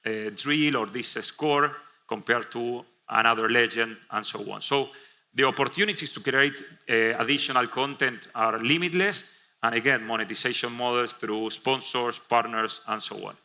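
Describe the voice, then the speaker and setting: Argentinian guy presenting on stage, really bright tone, lots of uhs and restarts. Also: medium pitch, normal speed. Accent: Argentinian Spanish. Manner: many fillers and self-restarts. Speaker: conference presenter. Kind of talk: keynote presentation. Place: conference stage.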